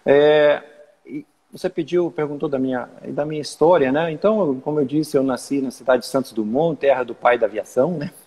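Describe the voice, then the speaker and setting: A man in his fifties from Minas Gerais, a plant pathology researcher answering interview questions in a measured, hesitant way. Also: medium pitch, darkish tone, slightly rough, in a large hall. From Minas Gerais, Brazil. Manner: measured, hesitant, many "é" and "né" fillers. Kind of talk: interview answers over video call.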